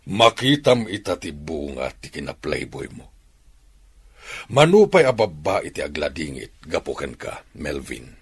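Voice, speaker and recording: A man's voice: extremely low pitch, sing-song, unhurried, slightly rough, exaggerated voice. A man acting a stern but fatherly middle-aged rancher with an Ilocano Filipino accent in a radio drama. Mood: reproving, advising.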